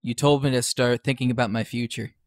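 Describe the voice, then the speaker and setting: A man's voice: mid-range voice, fairly even intonation, fairly quick, dry recording, studio quality, natural voice. A man playing an eager, determined teenage schoolboy in an audio drama, insistent and tripping over his words.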